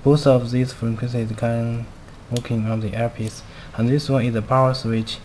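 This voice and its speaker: A man who speaks English as a second language, giving a halting step-by-step product demonstration in short, simple phrases. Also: mid-range voice, level tone, a little mellow. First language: not a native English speaker. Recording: clearly noisy amateur recording.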